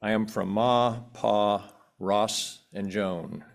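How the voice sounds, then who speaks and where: A man reading his own personal poem aloud at a church pulpit in a measured, plain way. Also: on the low side, steady tone, vocal fry. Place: church sanctuary.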